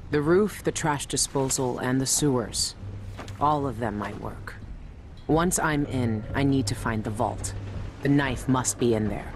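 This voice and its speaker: An actress playing a hardened, brooding former assassin in a video game, low-voiced, measured and terse, speaking with serious intent. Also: female voice, background noise. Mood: determined. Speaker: protagonist and narrator. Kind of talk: brooding scripted game narration and dialogue. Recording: music under the voice.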